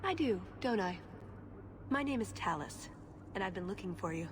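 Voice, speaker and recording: A woman's voice: mid-range voice, expressive, normal speed, noisy background, natural voice. A woman playing a confident, wry elven assassin-thief in a video game cutscene, teasing and coolly self-assured. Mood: cocky, teasing.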